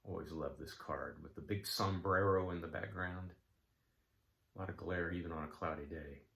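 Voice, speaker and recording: A man's voice: sits low, normal speed, gravelly, fairly bright tone, echoey room. A man, talking casually about collecting and filling his talk with ums and uhs. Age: 70s. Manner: rambling, frequent ums and uhs, folksy. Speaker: card collecting vlogger. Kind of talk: casual chat.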